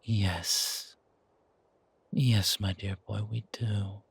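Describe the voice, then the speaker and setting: Man playing a husky, genteel, menacing patriarch. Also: fairly low pitch, steady tone, no room sound, exaggerated voice. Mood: persuading. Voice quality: husky, gravelly. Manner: measured, condescending, softly chiding. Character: older-mannered founder, genteel, patronizing, quietly menacing man. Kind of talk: audio roleplay drama acting.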